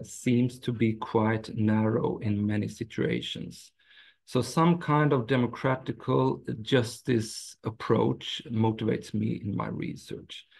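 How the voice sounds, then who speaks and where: Man, Swedish accent, forties, slow and halting, gravelly voice, video call. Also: mid-range voice, darkish tone. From Sweden. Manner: careful, hesitant, many fillers. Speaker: guest speaker. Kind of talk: academic talk.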